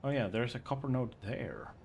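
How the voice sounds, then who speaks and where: A man's voice: medium pitch, normal speed, darkish tone, slight background noise. A man from Sweden with a Swedish accent, chatting casually on a game livestream, thinking aloud and reading chat. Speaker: streamer.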